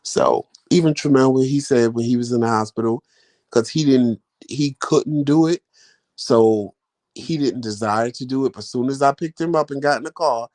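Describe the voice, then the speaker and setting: A man's voice: mid-range voice, moderate pace, darkish tone. A man hosting a vlog live stream, chatting casually with viewers in a rambling, folksy way. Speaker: vlog host answering live chat.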